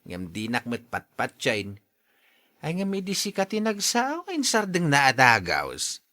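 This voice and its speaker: A man's voice: mid-range voice, huge pitch swings, a little slow, bright, forceful tone, no echo, studio quality, exaggerated voice. A man acting a blunt, matchmaking older father in a radio drama, with an Ilocano accent. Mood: teasing, insistent.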